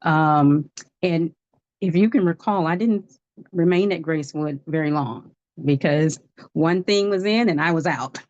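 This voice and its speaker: Low-voiced woman in her fifties testifying. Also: a little slow, a little mellow, dull audio. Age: 50s. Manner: earnest, testifying, laughs at herself. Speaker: guest life coach. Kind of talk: livestream testimony.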